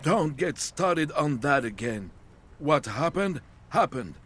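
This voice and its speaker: An actor playing an easygoing, sardonic old man in a video game, with a wry, expressive delivery. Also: a little high, slow pace, slightly rough, full character voice. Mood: weary.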